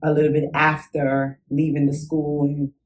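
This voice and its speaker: A young woman, a school teacher, telling childhood memories in a relaxed interview, animated and quick to laugh. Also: deep voice. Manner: animated, laughs often, acts out remembered reactions. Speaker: interview guest. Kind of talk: casual conversational storytelling.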